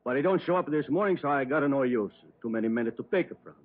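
An actor playing a talkative, excitable Italian market stall owner in halting, emphatic broken English, in an old radio drama. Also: male voice, mid-range voice, close to an everyday voice. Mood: chatty, emphatic. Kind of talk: 1950s radio drama acting. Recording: tinny, narrow old radio recording.

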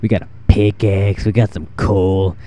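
A fourteen-year-old boy with a freshly deepened voice, rambling and self-deprecating while giving casual commentary over his gameplay. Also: little pitch movement, normal speed, mellow. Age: 14, teenage boy with newly deepened voice. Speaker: gaming channel host.

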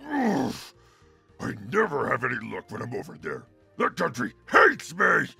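A man's voice: medium pitch, wildly swooping pitch, normal speed, bright, forceful tone, faint music behind, heavily acted. A man voicing a grumpy, boastful monster turtle king in a growling, sneering cartoon voice. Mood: grumpy, sarcastic. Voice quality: gravelly, growling. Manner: sneering, mocking, blustering. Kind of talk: cartoon character fan dub.

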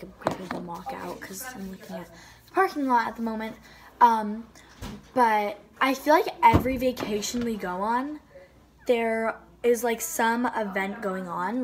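A teenage girl chatting casually for her travel vlog, rambling with lots of "like" and "um", filmed on a phone at arm's length in a hotel room. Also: a little high. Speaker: vlogger. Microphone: phone camera held at arm's length.

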